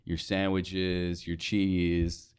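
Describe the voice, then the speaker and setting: A man's voice: low-pitched, monotone, drawn-out words, a little mellow, smooth. A young American man talking casually as a guest in an interview. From United States, North Dakota. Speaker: interview guest, radio sales associate.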